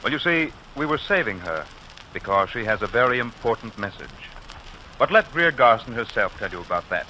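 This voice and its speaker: A male 1940s radio announcer with a bright, forceful voice and very lively intonation. He presents formally and theatrically on an old recording. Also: mid-range voice, fairly quick, slight background noise, poor audio.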